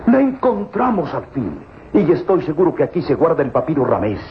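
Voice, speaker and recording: Eager, commanding professor, animated radio serial. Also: male voice, a little high, a little fast, a little hiss or hum. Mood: excited, triumphant. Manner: theatrical, formal, commanding. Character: eager archaeologist professor father, commanding, excited by discovery. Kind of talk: old Spanish-language radio serial acting. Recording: lo-fi old radio, music underneath.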